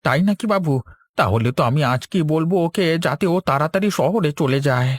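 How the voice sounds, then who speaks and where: A man's voice: on the high side, animated, normal speed, no room sound, studio quality. A man playing a meek villager in a cartoon, deferential and eager to please his boss. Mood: obedient, placating. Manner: deferential, placating. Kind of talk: Bengali cartoon dialogue.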